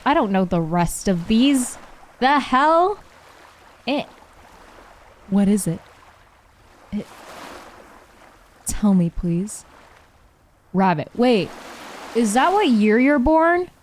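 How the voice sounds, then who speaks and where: A chatty young woman in her twenties gives game commentary in an excitable, casual way and keeps breaking into laughter. Her voice sits in a middle pitch range, recorded close on a desk mic with a faint hiss underneath. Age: 20s. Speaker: streamer.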